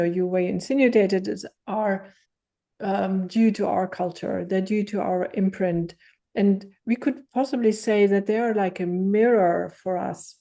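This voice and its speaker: A woman's voice: medium pitch, warm tone. A woman hosting a podcast, asking long, thoughtful questions and often restarting as she builds them. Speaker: podcast host. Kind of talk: interview questions.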